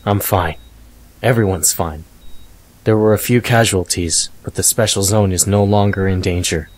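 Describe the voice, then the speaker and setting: An actor playing a calm, consoling man in an audio story, speaking softly and in measured phrases. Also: sits low. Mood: calm, comforting. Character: calm, gently reassuring adult man comforting an injured woman.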